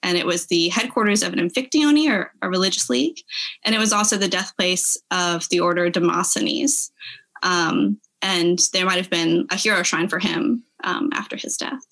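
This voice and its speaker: A woman's voice: lowish voice, moderate pace, a bit bright. A woman in a casual podcast chat, reading out short passages in an amused, giggly way. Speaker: podcast guest. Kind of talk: casual chat with dramatic readings of online reviews.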